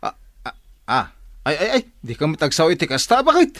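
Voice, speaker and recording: A man's voice: a little high, wildly swooping pitch, a little fast, a bit bright, dry recording, studio quality. A man with an Ilocano Filipino accent playing an evasive, flustered cheating husband and father in a radio drama.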